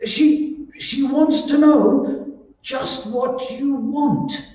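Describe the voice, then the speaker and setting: A man plays a timid, frightened husband in a radio drama, hesitant and stammering. His voice is high and mellow with a very drawn-out pace, muffled in a very echoey space. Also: heavily acted. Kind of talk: old-time radio drama acting. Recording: very echoey, muffled, distant room recording.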